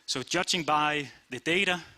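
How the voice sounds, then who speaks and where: A man's voice: fairly high pitch, normal speed, pushed and bright. A middle-aged man with a Danish accent, a company CEO giving a short talk at an event, plain-spoken with frequent "uh" and "basically". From Denmark. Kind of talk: short conference speech. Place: event room.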